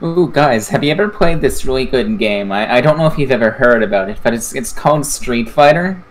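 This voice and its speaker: A man's voice: mid-range voice, expressive, brisk, poor audio. Male podcast panelist, mid-range voice, informal conversational delivery. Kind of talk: casual chat.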